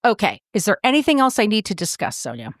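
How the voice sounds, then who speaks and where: A woman's voice: medium pitch, very animated, fast talker, no room sound. Lady podcast host chatting away, jokey, swears a bit, dry close mic. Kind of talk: casual podcast chat.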